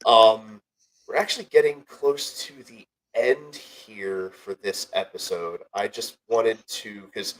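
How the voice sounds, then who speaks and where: A man's voice: lowish voice, steady tone, slow, low-quality recording. A young man co-hosting a casual sports podcast. He sounds a little nasal and congested from a cold, and he rambles, corrects himself and jokes through his ad reads. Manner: rambling, self-correcting, joking. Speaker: podcast co-host.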